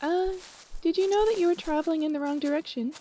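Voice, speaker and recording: A woman playing a friendly, teasing young ranch girl in a fantasy audio drama, chatty and expressive. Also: very high-pitched, quick pace, a little hiss or hum. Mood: playful, teasing.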